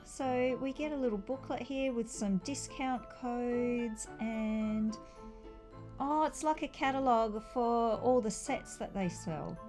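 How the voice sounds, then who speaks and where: A woman with a high-pitched voice talks through a toy set review at a slow, easy pace. Her style is chatty and informal, with enthusiastic exclamations. Faint music plays behind her. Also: darkish tone. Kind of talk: casual chatty unboxing and review commentary.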